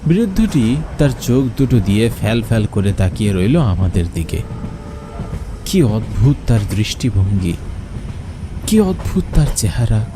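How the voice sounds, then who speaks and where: A man's voice: fairly low pitch, measured pace, long pauses. A man giving a theatrical first-person narration of a horror story. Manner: expressive, theatrical. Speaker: narrator and lead character. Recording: loud train noise behind.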